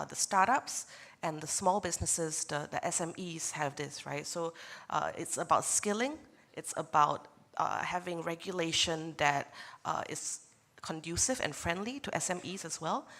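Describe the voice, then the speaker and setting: Low-voiced woman presenting at a conference. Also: fairly bright tone. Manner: conversational, frequent fillers and restarts. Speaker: conference panelist. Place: conference hall.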